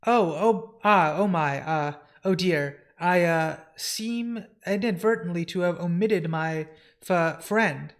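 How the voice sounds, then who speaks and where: A comic reader voicing a timid, fretful keeper of a haunted house, with a halting, nervous stammer. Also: medium pitch. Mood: nervous, flustered.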